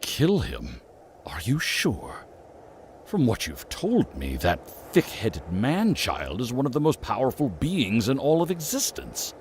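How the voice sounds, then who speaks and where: An actor playing a wary man in a dramatic sci-fi motion comic, with deliberate, theatrical delivery. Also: medium pitch, huge pitch swings, a little hiss or hum. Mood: doubtful.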